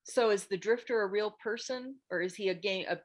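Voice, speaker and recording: Frank, halting woman giving casual feedback. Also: medium pitch. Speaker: host of a table read meet-up giving script feedback. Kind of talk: casual online group critique. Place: online video call. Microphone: video call microphone.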